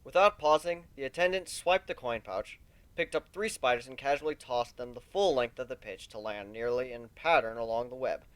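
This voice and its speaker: A man's voice: medium pitch, normal speed, a bit bright, smooth, dry recording. A man narrating a fan fiction story as a dramatic reading.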